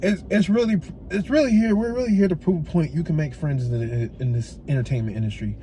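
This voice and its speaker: A man's voice: a little high, quick pace, mellow, slight background noise. A man from Texas with a Southern accent, hosting a casual interview and repeating himself for emphasis. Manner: laid-back, emphatic, repeats phrases. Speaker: podcast host.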